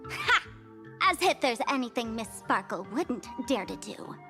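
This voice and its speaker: High girly game trickster, mocking n breezy, theatrical, bit of music behind. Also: big pitch swings, a little slow, pushed and bright, full character voice. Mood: cool scorn. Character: flippant mischievous young female masked trickster performer. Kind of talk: video game voice acting.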